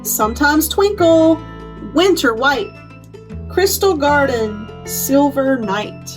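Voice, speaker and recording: High-pitched woman reading to children, slowly. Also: lively intonation, over music. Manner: simple, clearly phrased explaining for young children. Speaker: children's librarian, storytime host. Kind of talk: children's storytime talk and picture-book read-aloud.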